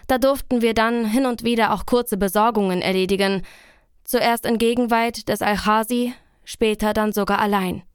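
A woman playing a young captive woman in a radio play, recounting calmly. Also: on the high side, normal speed, clear, resonant tone, no echo, clean studio sound, natural voice.

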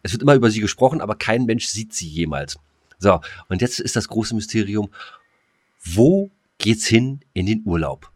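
Dry-sounding, lower-pitched man chatting casually. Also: animated, moderate pace, darkish tone, breathy edge, no echo. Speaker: podcast co-host. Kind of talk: casual, joking podcast chat.

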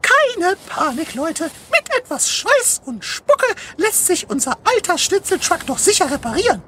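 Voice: very high voice, very animated, a little slow, a bit bright, a little hiss or hum, heavily acted. An actor voicing a cheerful, dreamy, optimistic rhinoceros in a comic audio play. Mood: upbeat, reassuring.

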